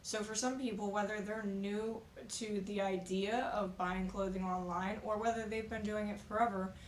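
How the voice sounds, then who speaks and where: A woman with a slightly raspy voice, recovering from being sick, giving frank, practical reselling tips at home in a casual vlog. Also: mid-range voice, steady tone, normal speed. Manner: frank, practical, conversational with fillers. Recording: echoey room with faint noise.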